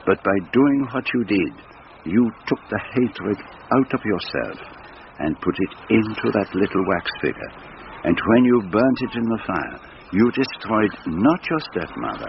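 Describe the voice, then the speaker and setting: An actor playing a shrewd, fatherly, self-assured detective in a radio drama, speaking in a measured, courteous and theatrical way. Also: fairly low pitch. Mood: gently reassuring. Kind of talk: radio drama acting, explanatory monologue. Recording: dull lo-fi radio sound, noisy.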